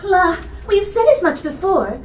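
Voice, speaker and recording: A woman's voice: very high-pitched, big pitch swings, moderate pace, slight background noise, voice pushed up. A woman voicing a breezy young society lady with a lilting, know-it-all manner in a storybook reading. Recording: roomy bookstore reading, distant mic.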